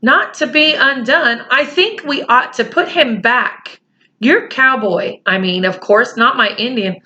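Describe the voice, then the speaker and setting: A woman's voice: fairly high pitch, measured pace, pushed and bright, voice pushed up. A woman reading aloud and voicing an anxious, bossy boy who scolds and argues with his friend. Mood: arguing. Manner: scolding, lecturing. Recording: slightly muffled home recording.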